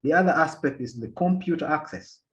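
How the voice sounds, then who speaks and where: A man from Uganda giving an academic policy talk as a panel speaker, halting often and repeating words as he restarts his sentences. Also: on the high side, animated. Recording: dull lo-fi sound.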